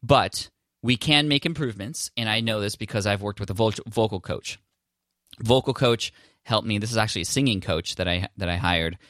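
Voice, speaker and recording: Male podcast host, low voice, dry sound, relaxed encouraging advice with restarts. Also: normal speed, no room sound. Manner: conversational, encouraging, restarts often. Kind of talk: casual advice monologue. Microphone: podcast microphone, standing.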